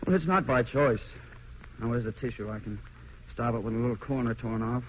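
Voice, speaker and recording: An actor playing a discontented young husband, blunt and dismissive, in a vintage radio drama. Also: male voice, mid-range voice, a little fast, close to an everyday voice. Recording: muffled old radio broadcast, faint noise.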